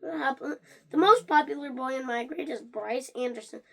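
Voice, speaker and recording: Someone reading a children's book aloud in an animated way, stumbling over words and starting again. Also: sits high, moderate pace, gravelly. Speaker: reader of a read-along.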